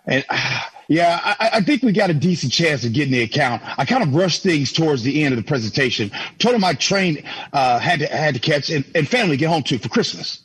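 Harried 50s dad in a radio play, really raspy, stammers, pitch jumps all over. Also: male voice, lively intonation, a little fast, few pauses, fairly bright tone, heavily acted, poor audio. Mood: cheerful, rushed. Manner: hesitant, stammering, folksy exclamations. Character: harried, affectionate 1950s businessman husband and father. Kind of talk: old-time radio drama acting.

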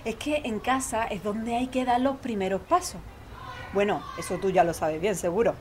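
A woman's voice: mid-range voice, normal speed, a little mellow, a little hiss or hum, lightly acted. A woman playing a cheerful, chatty football-fan neighbour in an audio drama, effusive and folksy, with an Andalusian accent. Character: chatty, cheerful office-worker mother, keen football fan. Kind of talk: conversational audio fiction acting.

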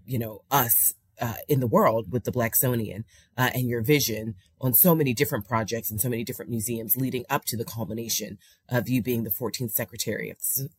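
A woman's voice: extremely low pitch, husky, no room sound, clean studio sound. An American woman hosting an interview show, moving between read-out history and conversational questions. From United States.

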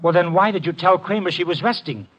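An actor playing a brisk, tough detective's assistant, wry and hardboiled, in a 1950s radio drama. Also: male voice, sits high, a bit bright. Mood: wry, incredulous. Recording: muffled vintage radio with music.

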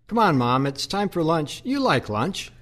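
Actor as kind tired priest, slightly high male voice, unhurried, earnest, audio play. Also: sing-song, a bit bright. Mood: coaxing. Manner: coaxing, earnest. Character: gentle, weary priest caring for his mother.

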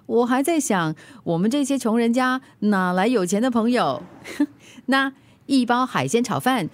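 A woman's voice: on the high side, animated, a little slow. A woman acting a cheerful, helpful food delivery rider in a radio drama, chatty, with a Singaporean accent and English words mixed in. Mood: breezy, brisk.

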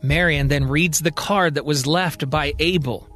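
High-pitched male storyteller. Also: measured pace, fairly bright tone, soft background music. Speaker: storyteller and podcast host. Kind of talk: scripted serial fiction narration.

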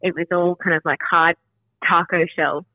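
Relaxed woman on a dull, dry recording. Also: on the low side, normal speed, no room sound. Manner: relaxed, rambling, says "kind of" and "like" a lot. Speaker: guest, marketing manager. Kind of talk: casual interview chat.

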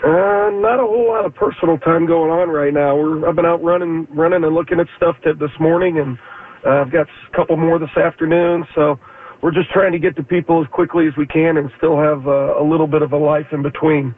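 A male guest expert with a slightly scratchy, allergy-worn voice. He talks haltingly and fills pauses with ums and uhs. He sits a touch high, heard over a tinny phone line. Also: fairly even intonation. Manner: hesitant, many fillers. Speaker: foundation repair expert guest.

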